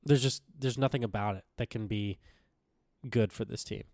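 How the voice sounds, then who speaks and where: Slightly hoarse man chatting about sports. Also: on the low side, moderate pace, darkish tone, no echo, clean studio sound. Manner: rambling with many fillers and restarts. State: recovering from illness, a bit raspy. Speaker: podcast co-host. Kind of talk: casual sports chat.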